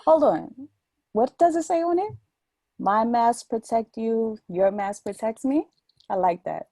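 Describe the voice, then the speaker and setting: Woman acting a forthright, insistent woman, mellow voice. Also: on the high side, animated, unhurried, dry recording, clean studio sound. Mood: playful, insistent. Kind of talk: audio play dialogue.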